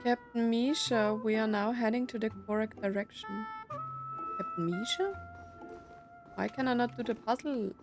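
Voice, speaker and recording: A woman's voice: fairly high pitch, moderate pace, pauses often. A woman giving casual gameplay commentary, puzzling things out aloud as she plays. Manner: musing, puzzled, thinking aloud. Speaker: video game streamer. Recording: game music behind voice.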